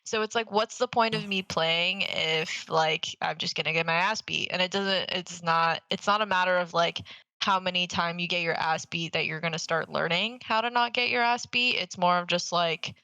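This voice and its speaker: Girl on a gaming podcast, lowish bright voice, dry close sound, swears a lot, super blunt. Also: moderate pace, no room sound, poor audio. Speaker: podcast co-host. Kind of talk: casual gaming podcast chat.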